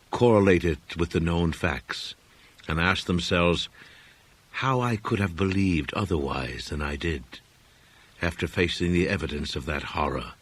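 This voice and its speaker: Low-pitched man reading a horror tale. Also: breathy edge. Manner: measured, solemn, deliberate storytelling. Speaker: audiobook reader. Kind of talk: scripted narration of a horror story.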